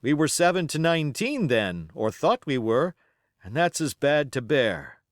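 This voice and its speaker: A man's voice: fairly high pitch, big pitch swings, unhurried, no echo, clean studio sound. A man voicing a gallant, urgent sea captain from an adventure storybook, barking out clipped orders. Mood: eager, heartened.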